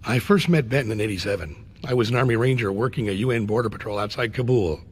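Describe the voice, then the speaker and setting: An actor playing a stoic former Army Ranger and special agent with grave, clipped delivery in a video game. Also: male voice, lowish voice, fast talker, husky. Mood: grave testimony. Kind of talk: scripted game cutscene acting. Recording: game audio with background noise.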